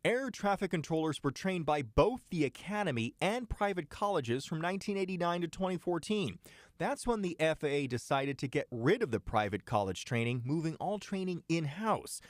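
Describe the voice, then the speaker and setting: A man's voice: a little high, very animated, moderate pace, dry recording. An American man narrating a scripted investigative TV news report, recorded as a close studio voice-over. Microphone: close studio voice-over microphone.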